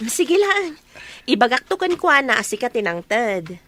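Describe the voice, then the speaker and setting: A woman's voice: a little high, big pitch swings, unhurried, pushed and bright, heavily acted. A woman playing a chatty, teasing young best friend who meddles, in an Ilocano radio comedy drama, with a Filipino accent. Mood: chirpy, helpful.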